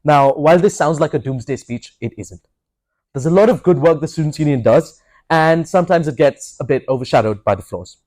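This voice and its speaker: A man's voice: a little high, lively intonation, a little fast, a little mellow, no echo. Blunt young male student candidate. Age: young adult. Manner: blunt, confident, direct. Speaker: election candidate. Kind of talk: campaign speech.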